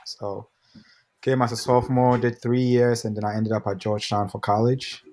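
A young man from Ghana, a pro soccer player, telling his story in a relaxed, rambling way as a podcast guest over a video call. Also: medium pitch, normal speed. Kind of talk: casual interview answers.

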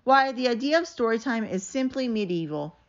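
A woman's voice: a little high, lively intonation, fairly quick, slight background noise, pitched above the performer's own voice. A woman voicing a grumpy, sarcastic female dragon librarian from a storybook, with a dry, scolding manner. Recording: dull, lo-fi sound.

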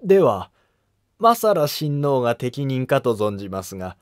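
A man's voice: mid-range voice, big pitch swings, measured pace, no room sound, clean studio sound. A man voicing a deferential, hesitant imperial prince who speaks formally and humbly in a historical drama animation. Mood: hesitant, surprised.

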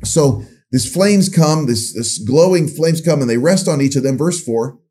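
Man pastor with a mellow husky voice. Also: a little high, expressive, a little slow. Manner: conversational, gestures with hands, restarts phrases. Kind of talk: video sermon teaching. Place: small room with dark curtain backdrop.

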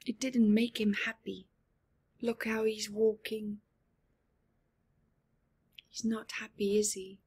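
A woman in her forties reading a picture book aloud to children at home, expressive and deliberate, with dramatic pauses and asides. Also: mid-range voice, slightly rough. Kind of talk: expressive read-aloud with asides to young viewers. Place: small living room at home.

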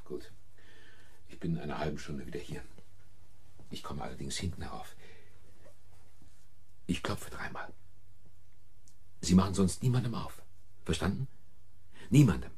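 An actor playing an anxious, bewildered married man in a radio thriller, in with a husky voice. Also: rumbling low, big pitch swings, measured pace, pauses often, darkish tone, heavily acted. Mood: tense, urgent. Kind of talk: radio play acting with first-person narration.

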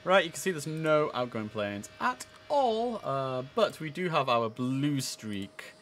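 A man's voice: on the high side, big pitch swings, takes it slowly, fairly bright tone, soft background music. A man in his thirties giving casual live game commentary, chatty, switching between asides and formal controller calls. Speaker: streamer playing an air traffic controller. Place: indoor room, live stream.

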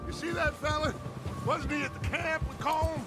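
An actor playing a middle-aged, silver-tongued outlaw gang leader in a western video game, speaking with an American accent and grandiose, rousing manner. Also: male voice, very high voice, fairly even intonation, moderate pace, loud music behind the voice. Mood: confident, commanding.

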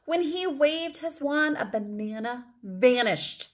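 A middle-aged woman reading a children's picture book aloud expressively, with deliberate phrasing and emphatic pauses. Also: high voice, lively intonation, a bit bright. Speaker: read-aloud storyteller.

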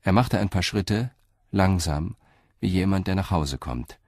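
A man narrating a radio crime play in a calm, measured storytelling manner. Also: low-pitched, dry recording, studio quality. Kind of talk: scripted audio drama narration.

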